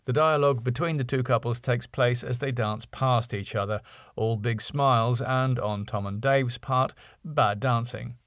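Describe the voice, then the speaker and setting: A man's voice: mid-range voice, measured pace, fairly bright tone, no room sound, studio quality. A man narrating a comedy script, reading out scene headings and stage directions. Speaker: writer and narrator.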